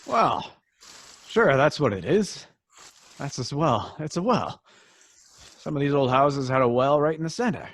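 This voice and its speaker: Husky, jittery male accomplice. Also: fairly high pitch, animated, a little slow, long pauses, fairly bright tone, heavily acted. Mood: reassuring, irritated. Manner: jittery, stammering, complaining. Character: nervous, grumbling male accomplice in a caper. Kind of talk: old-time radio drama acting.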